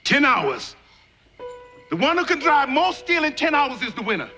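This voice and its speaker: An actor playing a proud, defiant, plainspoken railroad laborer of legend in a television drama. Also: male voice, extremely high pitch, sing-song, normal speed, sharp tone, full character voice. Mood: resolute. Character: proud, stubborn steel-driving railroad laborer, a folk hero. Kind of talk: television folk-tale drama acting. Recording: faint music behind, old videotape.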